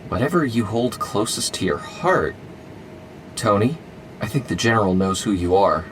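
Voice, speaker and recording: A man's voice: fairly low pitch, animated, normal speed, distant mic, noisy background, lightly acted. A man playing a hesitant scientist hero. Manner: hesitant, earnest. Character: mild-mannered male scientist hero.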